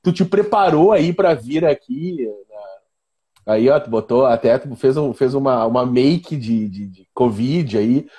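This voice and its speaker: A man with a southern Brazilian accent from Rio Grande do Sul, hosting a casual, joking chat in an informal, teasing, rambling way, with a husky voice. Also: medium pitch, lively intonation, measured pace, dark tone. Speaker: live-stream host; physiology and biomechanics professor.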